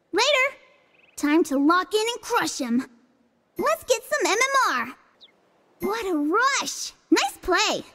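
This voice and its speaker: A very high, piercingly bright little girl's voice, built for a video game champion. She is cocky and playful, a brash competitor who clips out her lines and swings widely in pitch. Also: exaggerated voice. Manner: brash, taunting, clipped commands. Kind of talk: video game voice pack callouts.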